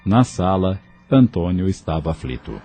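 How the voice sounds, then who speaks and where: Lowish-voiced male narrator with lively intonation. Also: moderate pace, soft background music. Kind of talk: scripted audio novel narration.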